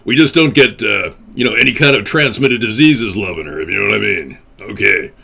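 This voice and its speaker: A man's voice: on the low side, fairly quick, creaky, pushed and bright, breathy edge. A man hosting a casual call-in web show, rambling and crude, calling people buddy. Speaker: host and presidential candidate.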